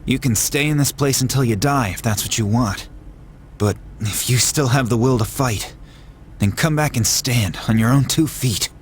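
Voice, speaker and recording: A man's voice: medium pitch, normal speed, slight background noise, lightly acted. A man playing a tough, brooding young fighter wracked with guilt in a video game, speaking in a blunt, earnest way. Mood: stern. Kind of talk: dramatic video game voice acting. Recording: loud music behind the voice. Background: music.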